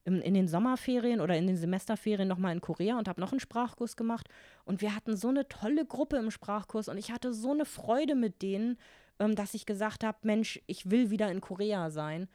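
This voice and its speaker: A German-speaking woman in her forties, a guest telling lively stories from her life in a casual interview and acting out her own quoted thoughts. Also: medium pitch, brisk, no echo, studio quality.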